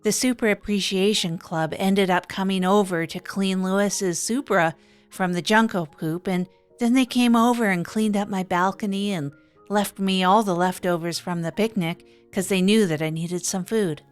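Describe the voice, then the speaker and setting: A woman playing an earnest, reflective small-town radio host, musing haltingly in an improvised comedy audio drama. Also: mid-range voice, clear, resonant tone, soft background music, natural voice.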